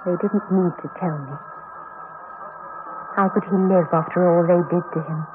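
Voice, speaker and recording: An actress playing a bereaved, embittered woman full of hatred in an intense, deliberate radio drama monologue. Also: fairly low pitch, fairly even intonation, background noise, lightly acted. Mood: bitter, vengeful. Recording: dull old radio broadcast under loud music.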